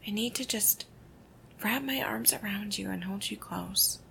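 A woman doing a whispered ASMR girlfriend roleplay, soft, intimate and affectionate. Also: medium pitch, measured pace, vocal fry, slight background noise.

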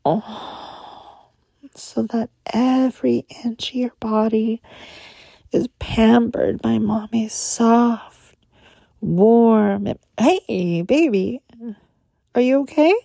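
A woman playing a doting, protective motherly lover, speaking softly in a cooing, often whispered way close to the mic. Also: on the high side, extremely slow, vocal fry, pauses often, dry recording, heavily acted. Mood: soothing, doting. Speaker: audio roleplay performer. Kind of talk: intimate whispered audio roleplay.

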